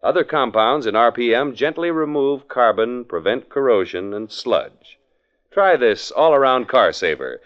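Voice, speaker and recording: A man's voice: medium pitch, expressive, a little slow. A polished, salesmanlike man announcing and advertising in 1940s radio style, on a muffled vintage broadcast recording.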